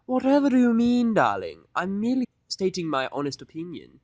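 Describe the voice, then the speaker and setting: A refined lady pony fashionista, acted out by a man for a fan audiodrama. The voice sits high and swoops theatrically, stretching words with fussy, flattering gentility. It is recorded close and dry. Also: dry recording, lo-fi sound. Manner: affected, drawn-out, genteel delivery with terms of endearment. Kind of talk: audiodrama fan fiction character voice.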